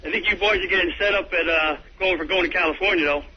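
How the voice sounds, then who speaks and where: Male phone-in caller, casual street slang, higher voice, muffled line with light noise. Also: fast. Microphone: telephone. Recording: muffled phone line on noisy radio tape.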